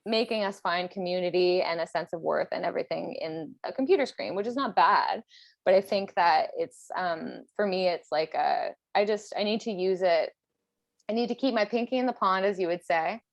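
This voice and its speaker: A woman in her thirties chatting casually and candidly as a podcast host, thinking out loud with plenty of "like". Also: lowish voice, normal speed. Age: 30s. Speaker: podcast host and personal trainer.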